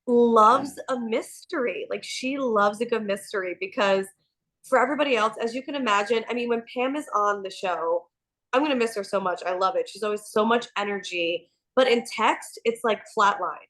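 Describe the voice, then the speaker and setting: A woman co-hosting a casual TV aftershow chat, a bit nasal from a cold, animated and gossipy with frequent laughter. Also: medium pitch, normal speed. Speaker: co-host.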